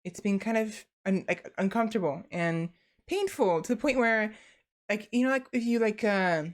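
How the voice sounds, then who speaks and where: A woman's voice: mid-range voice, lively intonation, moderate pace. A young woman streams from her desk, tired and chatting freely with her viewers. She rambles, restarts her sentences and leans on fillers as she talks. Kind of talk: casual chat to stream viewers.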